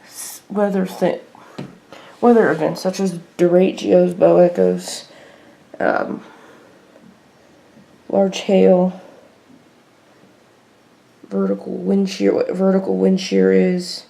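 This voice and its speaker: A woman giving a casual, unscripted show-and-tell at home in a halting way, as a hobbyist vlogger. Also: mid-range voice, flat delivery, vocal fry, dark tone. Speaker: weather radio hobbyist vlogger. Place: indoor room at home. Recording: noisy homemade video with clicks.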